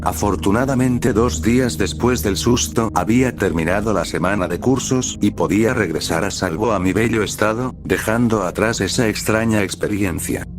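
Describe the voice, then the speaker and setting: Guy reading scary stories for a horror channel, lowish voice, music under it. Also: normal speed, few pauses. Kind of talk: scripted narration of first-person scary stories.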